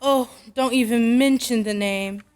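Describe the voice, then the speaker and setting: Woman acting a high-voiced, brisk career mother. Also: darkish tone, clear, resonant tone, lightly acted. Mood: brisk, wry. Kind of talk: old-time radio drama acting.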